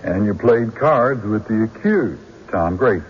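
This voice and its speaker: A shrewd, courteous country lawyer in a radio drama, probing with folksy hesitations. His voice is low and very animated, and the recording is lo-fi. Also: a little slow, slightly rough. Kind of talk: old-time radio drama acting. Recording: dull radio recording, faint noise.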